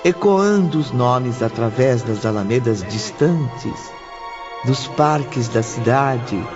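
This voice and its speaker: Slow, expressive male audiobook narrator. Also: mid-range voice. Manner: formal, expressive. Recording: dull lo-fi audio under music.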